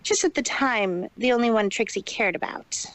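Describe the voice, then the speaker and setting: A woman's voice: a little high, animated, normal speed, a bit bright, dry recording, low-quality recording. A woman voicing a boastful, proud show-off pony mare who talks grandly about herself in a fan fiction reading. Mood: indignant. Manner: refers to herself in the third person, haughty.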